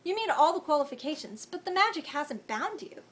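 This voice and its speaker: A woman reading as a gentle young fae man. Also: high voice, big pitch swings, a little fast, voice pushed up. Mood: grave.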